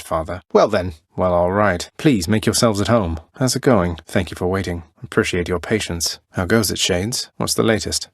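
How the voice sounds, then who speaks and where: A man's voice: sits low, no room sound. A man voicing a young underworld prince in a video game, polite and casual, with a dry, understated wit. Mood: friendly.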